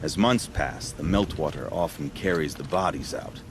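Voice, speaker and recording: A proud warrior of a hunting tribe with a very low voice. He declaims solemnly and sternly, as in a game cutscene, over clearly audible background noise. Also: moderate pace. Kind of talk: video game cutscene acting.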